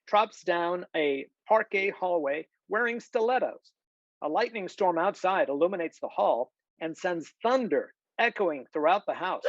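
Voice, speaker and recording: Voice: medium pitch, no room sound. A narrator reading scripted stage directions for a screenplay table read over a video call. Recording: dull, lo-fi video call audio.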